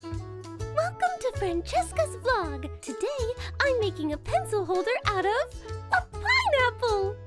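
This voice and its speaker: A woman's voice: extremely high pitch, huge pitch swings, unhurried, exaggerated voice. A woman voicing a cheerful young girl vlogger who talks in a bubbly, giggly way, in a cartoon. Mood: upbeat. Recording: music underneath.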